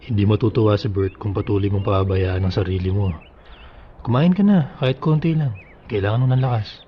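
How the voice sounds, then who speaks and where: An actor playing a somber, caring older brother-in-law in a Tagalog radio drama, consoling and measured. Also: male voice, lowish voice, lo-fi sound, a little hiss or hum, natural voice. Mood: gentle concern.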